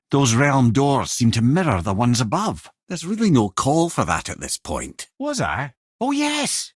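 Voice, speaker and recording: A man's voice: mid-range voice, fairly bright tone, slightly rough, no room sound, studio quality. A man playing a wry, worldly-wise old storytelling sage with a Scottish accent in a video game. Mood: conversational. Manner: wry, lilting, theatrical storyteller. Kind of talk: video game character acting, storytelling and banter.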